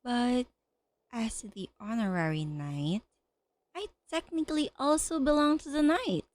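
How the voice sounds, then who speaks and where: A woman's voice: on the high side, expressive, measured pace, darkish tone. A woman streamer giving casual gameplay commentary, playfully bantering back at the characters. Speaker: gaming streamer. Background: game music.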